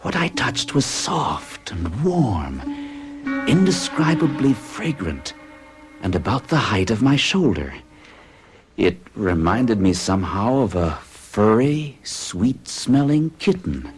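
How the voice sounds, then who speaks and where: A man's voice: fairly low pitch, very animated, slow pace, faint music behind. An actor playing a bewitched middle-aged bachelor in an old-time radio drama, speaking huskily with intense, hesitant confessional delivery. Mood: haunted, confessional. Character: forty-one-year-old orderly bachelor, bewitched and bewildered.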